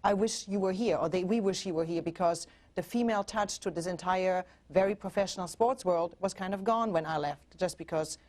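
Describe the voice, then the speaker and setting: A woman's voice: on the low side, fairly quick, hardly any pauses, darkish tone. A woman in her forties from Germany with a German accent, a relationship coach talking earnestly as a guest on a TV show. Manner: earnest, with frequent restarts and fillers. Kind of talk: TV interview.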